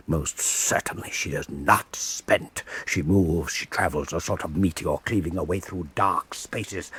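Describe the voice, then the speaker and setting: A man playing a suave, cynical Venetian official who teases and commands a painter, with a husky voice. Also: low voice, exaggerated voice. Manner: urbane, teasing, imperious. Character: suave cynical older male art patron, Venetian official. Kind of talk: radio drama acting.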